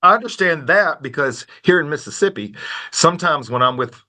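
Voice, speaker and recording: A man hosting a conversational podcast interview in a folksy, polite, animated manner. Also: a little high, fairly quick. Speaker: podcast host.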